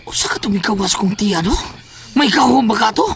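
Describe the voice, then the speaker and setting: A man playing a frantic, whiny, comic coward in a horror audio drama, excitable and full of exclamations. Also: high-pitched, fairly quick, gravelly, exaggerated voice. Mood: grumbling. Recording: noisy amateur audio drama recording.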